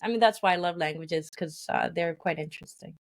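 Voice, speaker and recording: A woman with a fairly low voice, interviewed as a company founder. She explains her plans earnestly and thinks aloud, often restarting with "uh". Her talk is steady and conversational. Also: fairly quick. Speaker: founder of a language game company, guest.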